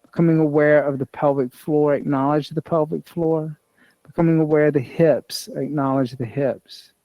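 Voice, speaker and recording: A man with a slightly high voice guides a meditation as a yoga nidra teacher. His phrasing is measured and repetitive, like step-by-step instruction. The sound is very dry, with no room echo. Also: fairly even intonation, narrow, tinny audio.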